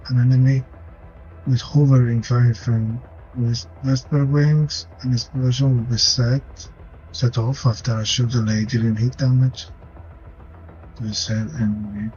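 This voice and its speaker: A man commentates over a game in a flat, mellow voice, reading out ability text as he goes. The recording is dull and lo-fi, with faint music underneath. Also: mid-range voice, a little slow. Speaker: gaming video host. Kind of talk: gameplay commentary, reading out skill descriptions.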